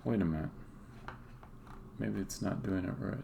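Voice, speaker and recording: A man giving a casual live-stream demo as a game developer, halting and thinking aloud as he goes. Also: sits low, gravelly. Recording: noisy, with keyboard typing and clicking.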